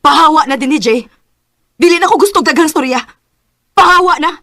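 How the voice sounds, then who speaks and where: A woman's voice: high voice, fairly quick. A woman playing a hurt, bitter pregnant wife. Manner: bitter, tearful. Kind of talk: Bisaya radio drama acting.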